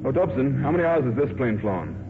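Actor as a fast-talking airline captain, noisy radio. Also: male voice, mid-range voice, sing-song, lightly acted. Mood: brisk, affable. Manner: businesslike, firm, formally courteous. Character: brisk, confident, skeptical male airline captain. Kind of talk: 1950s radio drama acting. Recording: very noisy, tinny 1950s radio broadcast.

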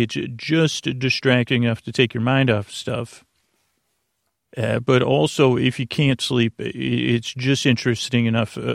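A man's voice: mid-range voice, gravelly, dry recording, studio quality. A male podcast host talking in a meandering sleep-show monologue, full of fillers, restarts and self-deprecating asides.

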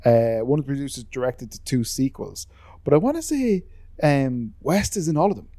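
A man's voice: medium pitch, animated, normal speed, mellow, dry recording. A man with an Irish accent, co-hosting a podcast in casual, rambling chat that is full of swearing and self-deprecating asides. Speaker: podcast co-host.

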